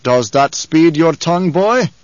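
A man's voice: on the high side, animated, no echo, muffled recording. A man playing a commanding, stern desert chieftain. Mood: wary, probing. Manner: formal, measured, authoritative. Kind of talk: audiobook character acting.

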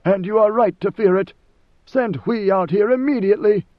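Guy doing a stern old god emperor, slow grave lecturing, raised a bit higher than his usual. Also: no echo, phone-quality sound, natural voice. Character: commanding ancient male god emperor, stern.

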